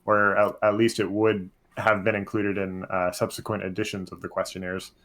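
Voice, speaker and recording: A man hosting a casual roundtable, deliberate and halting as he rephrases his questions. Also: on the low side, level tone, a bit bright. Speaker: podcast host posing questions.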